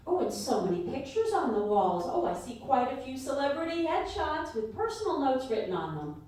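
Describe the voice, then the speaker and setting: A woman's voice: high voice, sing-song, moderate pace, darkish tone. A woman playing a chirpy, politely curious radio interviewer in a live comedy radio play, with exclamatory delivery. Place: live fundraiser event room before an audience. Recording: echoey live hall, distant mic.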